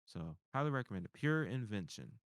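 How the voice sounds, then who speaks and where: Deep, clear-voiced man in casual chat. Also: very animated, brisk, dry recording. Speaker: panelist on a nerd culture show.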